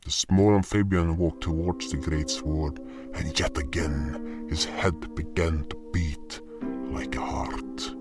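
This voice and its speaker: A man plays a grim, clawed beast hunter telling a fantasy tale in the first person. The voice is very low and dramatic, impatient in temper, with faint music behind it. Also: fairly even intonation. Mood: grave. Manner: dramatic, deliberate storytelling. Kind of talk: scripted first-person story narration.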